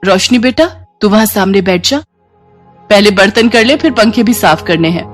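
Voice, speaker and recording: A woman's voice: medium pitch, normal speed, soft background music, natural voice. A woman voicing a bossy, curt rich housewife who orders her maid about in a moral story cartoon. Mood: irritated. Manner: curt, commanding.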